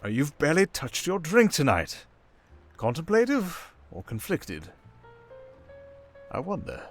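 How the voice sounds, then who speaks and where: A man playing a suave, manipulative criminal mastermind in an intimate ASMR roleplay, with a coaxing, condescending, theatrical delivery full of drawn-out pauses. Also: on the high side, wildly swooping pitch, heavily acted. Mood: probing, smug. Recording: music behind the voice. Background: music.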